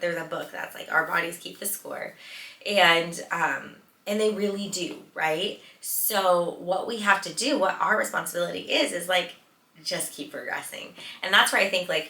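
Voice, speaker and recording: Female podcast host, late thirties. Bright, forceful delivery, casual and emphatic, recorded in an echoey room. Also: fairly low pitch, gravelly. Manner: informal, emphatic, repeats words for stress. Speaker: podcast host, physical therapist. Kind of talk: casual solo podcast talk. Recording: roomy echo, distant from mic.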